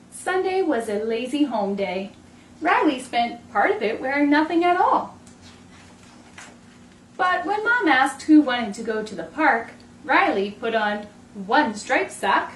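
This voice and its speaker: A woman in her thirties reading a picture book aloud to preschoolers with expressive, deliberate delivery. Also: sits high. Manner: expressive, clearly paced delivery for young children. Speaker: children's storytime host. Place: indoors in a room, standing before backdrop. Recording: roomy, distant mic, faint noise.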